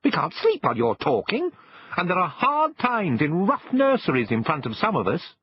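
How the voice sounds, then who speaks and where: A grumpy, complacent storybook toy, English and dismissive. The voice sits very high, well above normal talk, on a slightly dull recording. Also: male voice, wildly swooping pitch, normal speed, husky, no room sound, full character voice. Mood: irritable. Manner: dismissive, irritable. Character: grumpy, complacent wooden shop toys, children's audiobook.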